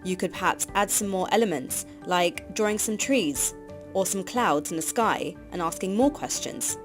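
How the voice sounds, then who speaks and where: A woman giving scripted narration for a teacher training course, reading in an even, measured way. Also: on the low side. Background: background music.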